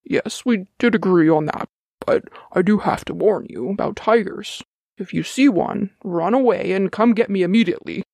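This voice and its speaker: Woman putting on a gravelly animal father. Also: medium pitch, moderate pace, darkish tone, dry recording. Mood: firm. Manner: firm, cautioning. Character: protective father animal, calm pack leader.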